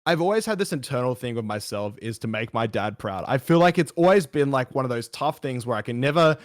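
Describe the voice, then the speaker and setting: A man's voice: medium pitch, lively intonation, brisk, no room sound. A young man in his early twenties speaks as a guest in a casual chat. He rambles and circles back on himself, his voice sitting mid-range, recorded close and dry. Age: 20s. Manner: rambling, heavy use of like and restarts.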